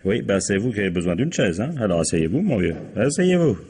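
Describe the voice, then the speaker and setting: An actor playing a sardonic, commanding police inspector in a French radio crime drama, brusque and ironic. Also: male voice, fairly low pitch, big pitch swings, a little fast, darkish tone, breathy edge. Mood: mocking, amused.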